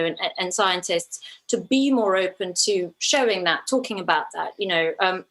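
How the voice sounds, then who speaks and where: A woman's voice: mid-range voice, fairly bright tone, low-quality recording. A woman from Ukraine speaking as an interview guest, a documentary filmmaker who answers conversationally and thoughtfully, often restarting her phrases.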